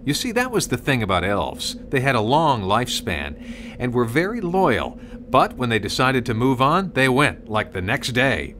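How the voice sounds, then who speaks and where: A man's voice: mid-range voice, very animated, moderate pace, background noise. A man narrating a scripted story dramatically, with conversational asides. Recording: music under the voice.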